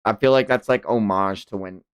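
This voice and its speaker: A man's voice: fairly low pitch, normal speed, clear, resonant tone, dry recording, studio quality. A man hosting a manga read-along, mixing narration with enthusiastic, casual fan commentary. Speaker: host reading a manga aloud on livestream.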